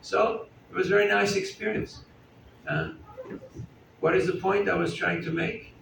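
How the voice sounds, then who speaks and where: Man, sermon, fairly high voice, conversational, asks the crowd questions, distant mic, faint background noise. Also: fairly even intonation, moderate pace, vocal fry, fairly bright tone, echoey room. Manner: chatty, asks the audience questions and jokes. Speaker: spiritual teacher giving a talk. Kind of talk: conversational sermon to a live audience. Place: indoor hall at a festival gathering.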